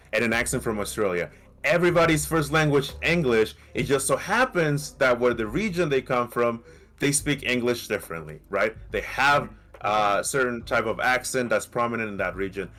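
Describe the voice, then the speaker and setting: A man with a light Mexican accent who co-hosts a podcast. He argues in a casual, animated way, often restarting and asking "right?". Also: medium pitch. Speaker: podcast co-host. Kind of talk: casual debate.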